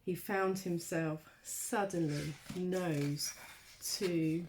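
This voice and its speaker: A woman reading a children's storybook aloud like a primary school teacher, expressively, with dramatic pauses and emphasis. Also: lowish voice, steady tone, darkish tone, slight background noise, rough recording.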